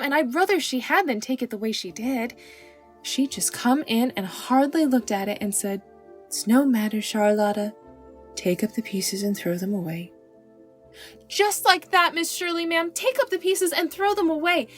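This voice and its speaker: A woman voicing an anxious, devoted young maidservant girl in an audiobook, with an earnest, deferential, folksy rural way of talking. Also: high-pitched. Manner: rustic folksy dialect, deferential, breathlessly earnest. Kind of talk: audiobook character acting.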